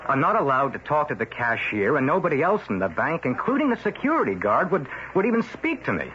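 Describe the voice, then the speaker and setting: An actor playing a stubborn, suspicious male newspaper reporter in a radio drama, talking fast and combative. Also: fairly high pitch, wildly swooping pitch, pushed and bright, slight background noise, exaggerated voice. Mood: argumentative. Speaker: lead character in radio drama. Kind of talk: 1970s radio drama acting. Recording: muffled old radio broadcast.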